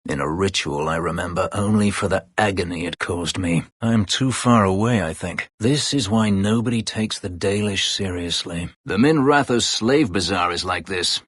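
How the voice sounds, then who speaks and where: An actor playing a proud, bitter former slave warrior in a video game, speaking tersely and formally in a husky, gravelly voice. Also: male voice, deep voice, moderate pace, few pauses, a bit bright, no room sound, studio quality. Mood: somber, guarded. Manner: terse, formal, brooding delivery. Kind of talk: video game character dialogue.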